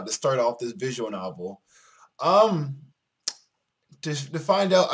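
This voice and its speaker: A man giving casual let's play commentary and reading story text aloud, often halting and repeating phrases as he comments. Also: mid-range voice, fairly bright tone, husky. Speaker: gaming video host. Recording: music behind the voice. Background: game music.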